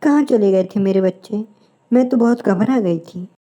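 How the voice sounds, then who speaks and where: A woman's voice: medium pitch, moderate pace, mellow, lightly acted. A woman voicing an anxious mother goat who frets over her child in a cartoon fable. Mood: worried. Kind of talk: Hindi moral-story cartoon dubbing.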